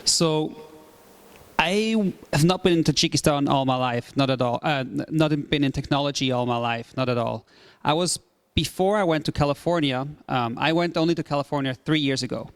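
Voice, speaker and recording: Smooth-voiced Swiss man giving a talk. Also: a little high, moderate pace. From Switzerland. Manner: relaxed, repeats phrases, filler-heavy. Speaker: startup CEO, guest speaker. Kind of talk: casual presentation talk.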